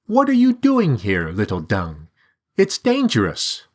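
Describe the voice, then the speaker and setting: A man voicing a sly, coaxing talking bird with a high sing-song voice in a storybook reading. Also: wildly swooping pitch, measured pace.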